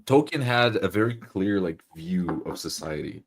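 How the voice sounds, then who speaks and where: A man's voice: deep voice, animated, moderate pace. A man who plays tabletop roleplay, explaining his thoughts enthusiastically in a casual group discussion.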